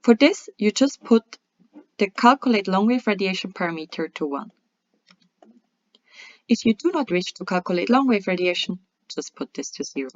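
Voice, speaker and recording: A woman presenting a scripted software tutorial in a methodical, step-by-step instructional way. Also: medium pitch, expressive, moderate pace. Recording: dull lo-fi voice-over.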